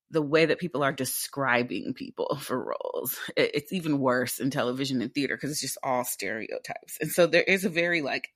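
A woman in her twenties speaks in a deep, low alto, her pitch sitting near the bottom of the range. She talks candidly and with animation, firing off rhetorical questions, and the sound is dry and close with no room echo. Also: a bit bright. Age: 20s. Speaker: interview guest, theatre actor. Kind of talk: casual interview conversation.

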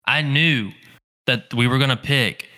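A young man co-hosting a casual podcast chat, talking in a monotone, blunt way with a crisp, soothing voice and frequent swearing. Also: medium pitch, a little slow. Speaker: podcast co-host.

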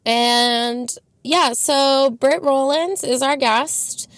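A woman's voice: high-pitched, very slow, fairly bright tone, no echo. A woman in her twenties hosting a casual podcast, chatty, laughing often and swearing freely. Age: 20s. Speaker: podcast host. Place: house where she is dog sitting.

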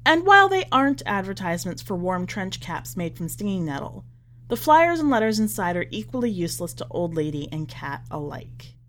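Measured woman reading a story aloud. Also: fairly low pitch, big pitch swings, a bit bright. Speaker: podcast editor reading a short story aloud. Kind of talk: scripted narration of literary fiction.

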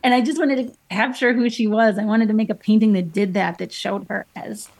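Chatty, slightly husky woman painter. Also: medium pitch, fairly even intonation, brisk, a little mellow. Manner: chatty storytelling with many fillers and restarts. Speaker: painter guest. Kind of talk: casual interview chat.

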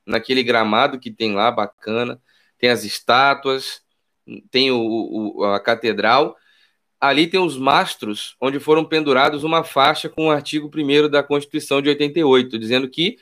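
A man's voice: medium pitch, moderate pace, a bit bright. A man from Rio de Janeiro with a Carioca accent, giving casual political commentary on his channel beside his wife, emphatic and slangy, repeating key phrases. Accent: Carioca Brazilian Portuguese. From Rio de Janeiro, Brazil. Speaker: political YouTuber.

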